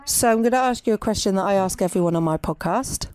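A woman's voice: mid-range voice, fast talker, a little mellow. A woman hosting a podcast as a mother, casually interviewing a child in an encouraging, playful way.

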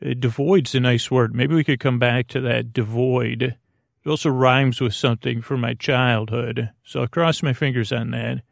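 A man with a creaky voice hosting a bedtime podcast in a soothing, rambling way, halting and drifting off on tangents. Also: medium pitch, fairly even intonation, no echo, studio quality. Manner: meandering, halting, repeats and trails off; soothing, lulling. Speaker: podcast host.

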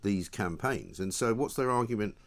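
A man's voice: lowish voice, animated, moderate pace. A man hosting an opinionated, conversational talk show, with mocking rhetorical questions.

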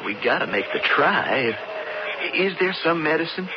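A man's voice: fairly high pitch, expressive, normal speed, lightly acted. A man playing an earnest, impatient western trail rider in an old-time radio drama. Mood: earnest, pressing. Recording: noisy, dull radio broadcast.

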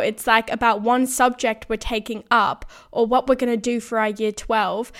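A young woman in her twenties talks through her solo podcast in a fairly high voice. Her voice is a little croaky, worn from a recent illness. She speaks earnestly and keeps breaking into soft laughs. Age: 20s. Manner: earnest, frequent light laughs. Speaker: podcast host. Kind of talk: casual solo podcast monologue.

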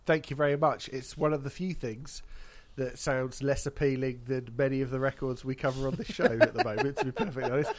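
A man's voice: medium pitch, very animated, brisk. A man hosting a casual podcast, congested from hay fever, wry and chatty. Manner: wry, chatty, laughs at own jokes. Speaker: podcast host.

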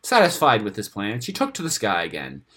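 A man reading a scripted fantasy story aloud in a dramatic, expressive storytelling manner. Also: lowish voice, a little fast, breathy edge. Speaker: story narrator reading own fantasy tale.

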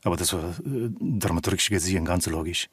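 Man, Japanese accent, low deep voice, calm and hesitant delivery, interview answers, very dry close sound. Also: a little fast, husky, no echo. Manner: calm, relaxed, hesitant in non-native German. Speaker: actor and opera singer, interview guest.

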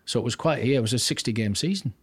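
Older north-east england bloke on a podcast, rambling storyteller, keeps restarting sentences. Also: male voice, mid-range voice, fast talker, breathy edge, dry recording. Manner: chatty, rambling, restarts sentences, acts out quotes. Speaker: podcast guest, former goalkeeping coach. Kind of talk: casual anecdotal storytelling.

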